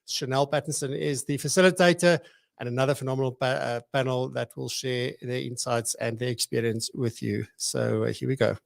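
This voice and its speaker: A man with a gravelly voice introduces the next session of an event. He hurries through the introduction and fills the gaps with frequent hesitations. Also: mid-range voice, fairly even intonation. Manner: hurried, filler-heavy. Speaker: event host.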